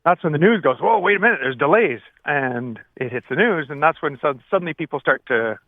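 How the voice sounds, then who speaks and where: An older man gives halting, plain-spoken explanations as a radio interview guest, often rewording as he goes. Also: fairly high pitch, lively intonation, dry recording. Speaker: retired air traffic controller, interview guest. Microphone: phone call. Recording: narrow phone-like line.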